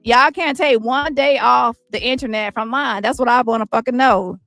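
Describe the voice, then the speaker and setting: A woman streamer ranting with heavy profanity, roasting critics with quick insults in a squeaky voice. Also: a bit bright, dry recording, clean studio sound, faint music behind. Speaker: commentary streamer. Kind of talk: ranting commentary.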